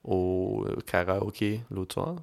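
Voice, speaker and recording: A man's voice: sits low, takes it slowly, creaky, clear, resonant tone. Laid-back young Québécois man chatting. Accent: Québécois French. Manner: relaxed, laid-back storytelling with English slang mixed in. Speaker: podcast co-host. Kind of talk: casual chat.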